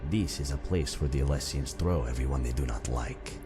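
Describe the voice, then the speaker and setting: A man's voice: extremely low pitch, fairly quick, over music. A man voicing a wry, fatalistic imprisoned cat-man thief in a fantasy game, speaking of himself in the third person. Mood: wry, indignant. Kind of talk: fantasy game character dialogue.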